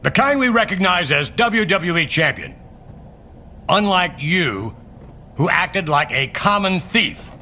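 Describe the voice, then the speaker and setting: Bossy menacing wrestling exec, bright hard voice that swings all over, game cutscene. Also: male voice, sits high, lively intonation, slow pace, slight background noise, exaggerated voice. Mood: stern, threatening. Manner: commanding, sardonic. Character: domineering, menacing male wrestling company boss. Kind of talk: scripted video game cutscene acting.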